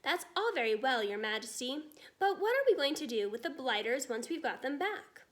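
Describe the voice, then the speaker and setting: A woman's voice: high-pitched, big pitch swings, moderate pace. A woman voicing a short-tempered, blustering male army general in a storybook, full of indignation. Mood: furious, miffed.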